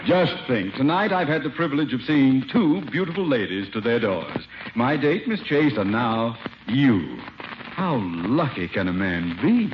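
Swooping, gallant wealthy playboy. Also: male voice, medium pitch, measured pace. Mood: gallant, flattering. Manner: suave, eager, flowery flattery. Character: wealthy retired playboy neighbor, smooth gallant flatterer. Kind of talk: 1950s radio comedy acting. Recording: dull, hissy old radio recording.

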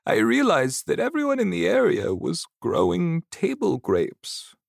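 A man voicing a frail, gentle old farmer's ghost, telling his tale slowly and deliberately in a storybook narration. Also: high-pitched, huge pitch swings, gravelly, no echo, studio quality, voice pushed up, heavily acted. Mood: wistful, recounting. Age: older.

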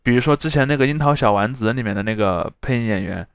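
Guy podcast host, talks quick, explains stuff casually, really dry sound. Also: medium pitch, gravelly, clean tone, dry recording. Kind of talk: casual chat.

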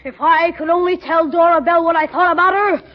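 Kid nephew for old-time radio comedy: very high, cheeky, eager, blurting, on a muffled recording. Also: male voice, fairly even intonation, quick pace. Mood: cheeky, earnest. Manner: hesitant, blurting, slangy. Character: wisecracking, eager young boy nephew, cheeky and flustered. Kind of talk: 1940s radio comedy acting.

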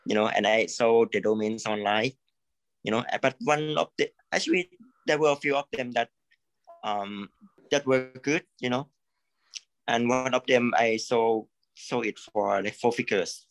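A man from Thailand, a creative consultant, speaking in a halting way, repeating words and saying "you know" often. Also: medium pitch, dry recording, rough recording. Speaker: coaching client, creative consultant. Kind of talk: live coaching session.

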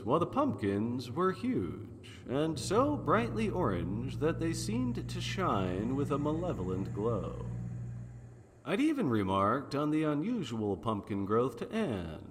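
A man's voice: fairly high pitch, big-room sound, a little hiss or hum. A man playing a wry, wisecracking private detective, narrating in the style of a 1940s noir radio drama. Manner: wry, deadpan.

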